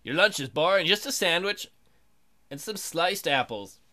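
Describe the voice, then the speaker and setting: Animated, joking man doing game commentary. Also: high-pitched, normal speed. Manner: joking, sarcastic, swearing. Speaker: let's play host. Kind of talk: casual game commentary, reading text aloud.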